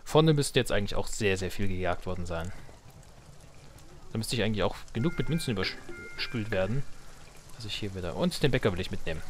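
A man's voice: fairly low pitch, expressive, brisk, long pauses. A man giving casual let's play commentary, muttering and thinking aloud to himself. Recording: game music behind the voice. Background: video game music.

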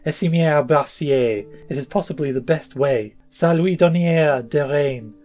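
A man's voice: a little high, unhurried, a little mellow, soft background music, lightly acted. A man voicing a gracious, spirited young French noblewoman in a storybook, with courtly, archaic speech sprinkled with French. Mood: poised, commanding.

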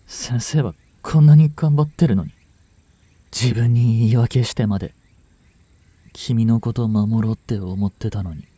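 Casting: male actor for a gentle, brooding male teacher in an audio drama; very mellow, unhurried, soft-spoken, sighing delivery. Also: on the low side, slightly rough, no room sound. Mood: bitter, resigned. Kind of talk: Japanese audio drama monologue, intimate acting.

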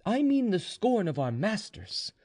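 A man's voice: high-pitched, sing-song, measured pace, darkish tone, pitched above the performer's own voice, full character voice. A man voicing a mocking tavern keeper in a storybook reading, taunting and goading in an exclamatory way.

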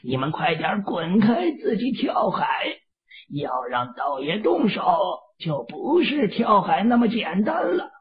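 A man voicing a lecherous, cruel middle-aged male Taoist villain who gloats with drawn-out, sneering menace in a read-aloud audio novel. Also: sits high, huge pitch swings, slightly rough, pitched above the performer's own voice, heavily acted. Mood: threatening. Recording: phone-like, lo-fi, distant sound.

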